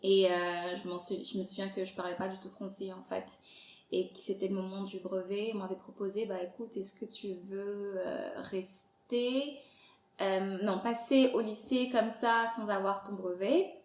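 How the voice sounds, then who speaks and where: Podcast guest, woman in her thirties from Angola, Portuguese native speaker, animated and laughing, recorded in a reverberant room. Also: mid-range voice, moderate pace, a little hiss or hum. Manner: lively, laughs often, many fillers. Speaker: podcast guest, content creator. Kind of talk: casual interview chat. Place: Montreal. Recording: echoey room.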